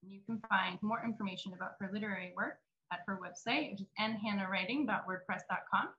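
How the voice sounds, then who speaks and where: A woman's voice: mid-range voice, quick pace. A woman hosting a launch event, introducing the next reader by reading her bio over a video call. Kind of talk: reading speaker bios. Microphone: computer mic on a video call. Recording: dull lo-fi video call audio.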